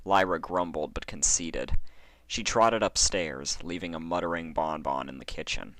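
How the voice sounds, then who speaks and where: A man's voice: low voice, moderate pace, fairly bright tone, dry recording. A man introducing and narrating fan fiction as a podcast reader in an informal storytelling style.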